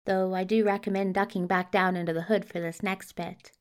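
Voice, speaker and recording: A woman's voice: mid-range voice, little pitch movement, a little fast, lightly acted. A woman voicing a polite, agreeable male hero of the gentry, genteel and a little hesitant, in an audio drama. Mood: agreeable, conciliatory. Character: polite, agreeable, slightly flustered gentleman hero.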